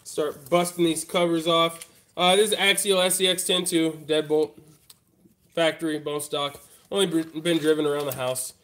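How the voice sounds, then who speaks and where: A man's voice: fairly high pitch, little pitch movement, moderate pace, fairly bright tone. A man with a stuffy, congested voice from a head cold, chatting casually with live-stream viewers while working at a bench. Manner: laid-back, chatty, talks straight to viewers. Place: hobby workbench.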